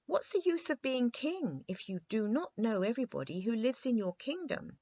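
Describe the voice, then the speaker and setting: A woman's voice: on the high side, big pitch swings, normal speed, dark tone, no room sound, muffled recording, voice pushed up. A woman voicing a spirited, frank, cheerful little girl in a children's storybook reading. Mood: surprised.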